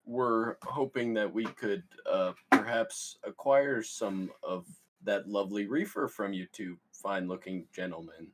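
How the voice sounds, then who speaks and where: A man playing an awkward, excitable young stoner, cocky and bumbling, in a comedy script read. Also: lowish voice, a little slow, a little hiss or hum, close to an everyday voice. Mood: awkward wheedling. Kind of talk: comedy screenplay table read.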